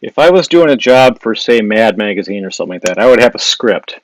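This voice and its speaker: A man's voice: fairly low pitch, expressive, a little fast. A man from Wisconsin with a Wisconsin accent, an illustrator thinking aloud as he draws and answers viewer questions on a live stream. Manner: thinking aloud while sketching, with frequent fillers and restarts. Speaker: illustrator giving a live drawing demo. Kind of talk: casual live-stream drawing demo, reading viewer questions. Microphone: device mic on a live social video stream.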